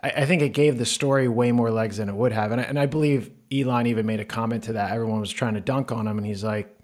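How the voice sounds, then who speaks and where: A man's voice: medium pitch, fairly even intonation, quick pace, a little mellow. A man with a mid-range voice hosts a podcast. He introduces the episode and talks with his guest in an upbeat, conversational manner. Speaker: podcast host.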